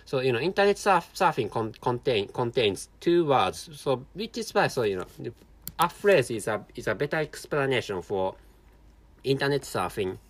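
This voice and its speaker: A tired Japanese man talks alone in English with a clear Japanese accent, stopping and restarting his phrases again and again. His pitch rises and falls a lot from line to line. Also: big pitch swings. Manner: halting, many restarts and repeated words. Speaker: podcast host. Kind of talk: casual solo monologue.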